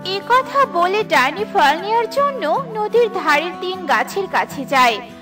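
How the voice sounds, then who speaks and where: Children's story narrator, woman: notably high voice, scripted delivery, over a music bed. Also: big pitch swings, measured pace. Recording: music behind the voice.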